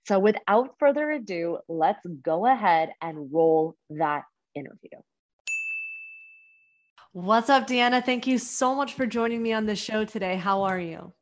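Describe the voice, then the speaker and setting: A woman's voice: mid-range voice, animated, measured pace. A woman hosting an interview podcast, reading a scripted introduction and then chatting with enthusiasm. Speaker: podcast host. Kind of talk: upbeat interview chat.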